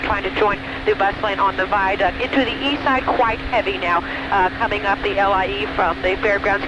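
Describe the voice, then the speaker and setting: A woman giving a live radio traffic report in a brisk, matter-of-fact way, with the odd "uh". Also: medium pitch. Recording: muffled radio with loud noise.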